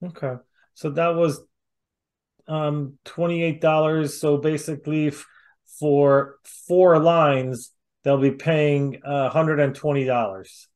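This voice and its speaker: A man with a moderately high-pitched voice is interviewing someone casually. His delivery is halting, and he searches for words as he puts questions and remarks together. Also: steady tone, a little mellow.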